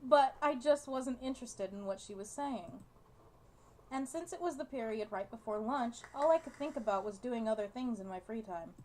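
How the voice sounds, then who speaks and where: A young woman with a slightly high voice hosts a gaming video. She chats casually and reads story text aloud, with faint noise behind her. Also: moderate pace, mellow, rough recording. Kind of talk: casual chat and reading game text aloud.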